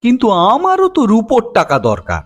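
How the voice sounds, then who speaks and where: A man voicing a fussy, excitable, impatient king in a comic cartoon, whiny and exclamatory. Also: extremely high pitch, normal speed, slightly rough, soft background music, exaggerated voice. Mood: indignant. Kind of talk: cartoon comedy dialogue.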